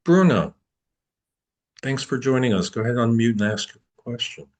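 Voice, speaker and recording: A man answering applicants' questions at an online open house, relaxed and explanatory, over a video call. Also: medium pitch, moderate pace. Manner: relaxed, explanatory, with repeats and restarts. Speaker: program admissions advisor.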